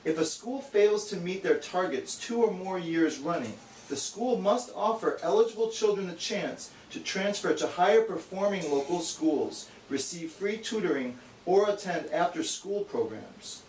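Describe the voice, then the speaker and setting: A man's voice: high voice, expressive, moderate pace, few pauses, a little mellow. A man giving an earnest, matter-of-fact scripted educational explanation as the straight man of a puppet show. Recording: echoey, distant, faint noise.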